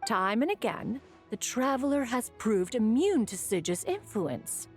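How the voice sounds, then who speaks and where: Haughty, expressive immortal adept. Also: female voice, fairly high pitch, unhurried, faint music behind. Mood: composed. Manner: formal, haughty, refers to self as one. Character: proud, formal, aloof immortal female adept. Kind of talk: game voice acting.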